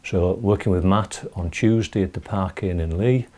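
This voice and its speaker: A middle-aged man presenting an informal video newsletter to camera, with hesitant pauses as he goes. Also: deep voice, darkish tone. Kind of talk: informal talk to camera about recent projects.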